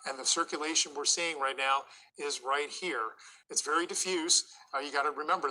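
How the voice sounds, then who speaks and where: A man's voice: on the high side, pushed and bright, a little hiss or hum, rough recording. A man giving live severe weather commentary as a TV forecaster, urgent and explanatory, going through the situation place by place.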